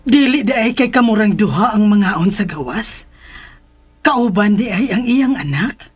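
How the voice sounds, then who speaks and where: A woman's voice: mid-range voice, expressive, a little slow, breathy edge. An actress with a Filipino accent playing a pleased, nosy mother of a grown son in a radio drama. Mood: pleased, curious. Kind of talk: Philippine radio drama acting.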